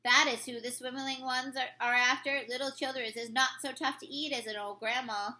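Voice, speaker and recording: A woman voicing a gentle, kindly male giant from a children's storybook, explaining earnestly in muddled grammar with invented words. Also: sits high, steady tone, moderate pace. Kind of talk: children's storybook read-aloud.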